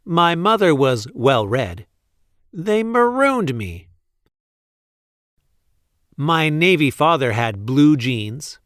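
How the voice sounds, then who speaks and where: Male storyteller for a picture book: lively, swooping intonation, unhurried delivery, playful emphasis, close dry sound. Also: fairly high pitch, no room sound. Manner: deliberate, playful emphasis on repeated sentences. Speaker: read-aloud storyteller. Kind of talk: expressive picture book read-aloud.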